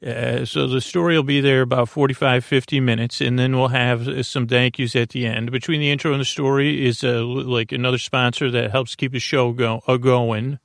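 A man hosting a sleep podcast in a soft, lulling voice with a gravelly edge. He meanders from thought to thought with many pauses and restarts. His voice is recorded close and dry, with no room sound. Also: medium pitch, level tone, no echo. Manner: meandering, hesitant, full of fillers and restarts. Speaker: podcast host. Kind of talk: rambling bedtime podcast talk.

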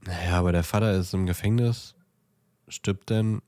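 A man's voice: low voice, fairly even intonation, normal speed, darkish tone, dry recording, studio quality. A man co-hosting a podcast in casual, teasing, mock-serious banter. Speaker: podcast co-host. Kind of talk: casual banter between co-hosts.

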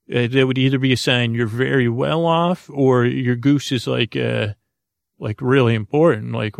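Creaky-voiced man rambling haltingly. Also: mid-range voice, no room sound, clean studio sound. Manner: halting, hesitant, digressive, with frequent false starts and repetitions. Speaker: podcast host. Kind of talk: rambling, meandering bedtime monologue.

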